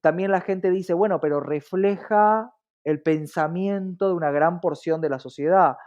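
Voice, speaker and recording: A man's voice: high voice, normal speed, darkish tone. A man with an Argentine accent, an interview guest explaining things in an articulate, emphatic way with frequent rhetorical checks. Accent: Argentine Spanish. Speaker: interview guest, diversity advocate. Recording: dull, muffled lo-fi audio.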